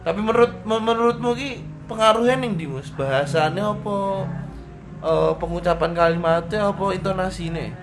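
A man's voice: high voice, normal speed, over music. A young man hosting a podcast, asking a friend casual, probing questions. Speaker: podcast host. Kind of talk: casual interview chat.

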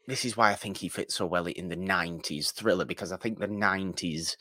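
A man co-hosting a film podcast, chatting casually and haltingly, repeating and rephrasing his points for emphasis. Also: low voice, sharp tone, no echo, clean studio sound.

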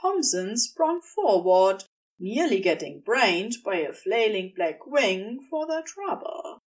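Woman storyteller, unhurried pace, highly varied intonation, scripted fiction narration, slightly distant mic. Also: sing-song. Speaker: audiobook narrator.

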